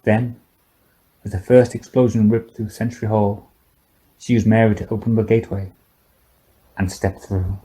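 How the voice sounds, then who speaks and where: A man narrates a fiction audiobook in a soft, dark-toned voice. His delivery is scripted storytelling, read from the page as prose narration. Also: on the low side, little pitch movement, moderate pace, pauses often, low-quality recording.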